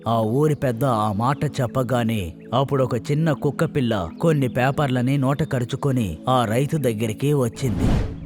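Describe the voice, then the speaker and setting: A man's voice: mid-range voice, normal speed. Man narrating a story over music.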